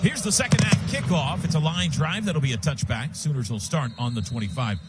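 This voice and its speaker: High-voiced American football play-by-play man. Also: animated, over music. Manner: rapid clipped phrases, present-tense narration. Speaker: radio play-by-play announcer. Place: stadium radio booth. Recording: loud stadium noise behind broadcast.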